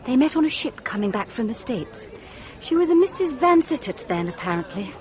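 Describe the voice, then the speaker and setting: An actress playing an outspoken young woman doctor with an English accent in a radio drama, forthright and expressive. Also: a little high, a little fast. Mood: amused, chatty.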